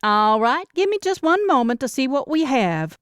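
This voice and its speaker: A woman's voice: high-pitched, very animated, moderate pace, clean tone, dry recording, studio quality, pitched above the performer's own voice. A woman voicing a helpful female shelter receptionist in audiobook dialogue, with a polite customer-service tone.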